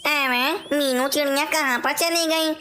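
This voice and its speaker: Woman voicing a high-pitched anxious parrot king. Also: steady tone, measured pace, sharp tone. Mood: worried. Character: anxious, indignant male parrot king, a father. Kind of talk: cartoon moral-story dialogue.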